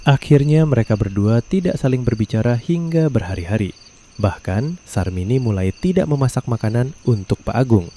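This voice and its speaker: A man narrating a scripted story in a calm, measured way. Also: mid-range voice, slight background noise.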